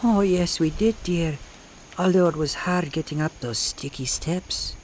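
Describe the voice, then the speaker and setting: A gentle, fussy small-town wife , played by a woman, polite and easily convinced, in old-time radio drama style. The recording carries faint noise. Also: on the low side, a little slow, lightly acted, rough recording. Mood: kindly, fussy.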